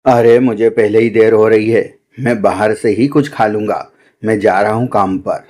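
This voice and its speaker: A man's voice: on the low side, fairly even intonation, moderate pace, mellow, close to an everyday voice. A man voicing a caring, earnest young crow husband in a cartoon story, with a reassuring manner. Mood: annoyed. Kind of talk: Hindi cartoon story acting.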